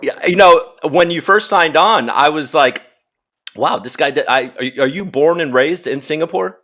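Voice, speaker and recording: A man's voice: on the high side, moderate pace, pushed and bright. A man hosting a podcast, chatting in an upbeat, casual way while interviewing a guest over a video call. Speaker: podcast host. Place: home in Los Angeles.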